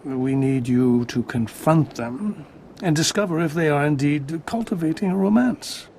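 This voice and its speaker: A stern Jedi master in a video game. His voice is fairly high, with a formal, moralizing manner full of suspicion. A faint rumble sits behind him. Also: measured pace, creaky, dark tone. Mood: grave, admonishing.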